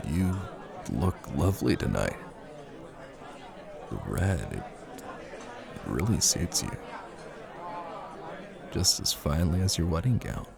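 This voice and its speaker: A man acting out a menacing, possessive mafia husband in an intimate audio roleplay. His voice is very low, with heavy gravelly fry, and it moves expressively between coaxing and command, with deliberate pauses. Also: heavily acted. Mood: admiring. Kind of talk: intimate scripted ASMR roleplay.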